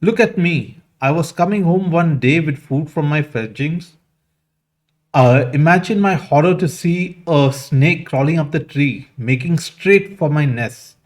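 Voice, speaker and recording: A man's voice: a little high, a little slow. A man voicing a helpless, plaintive parent bird resigned to its lot in a told fable. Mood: distressed, resigned.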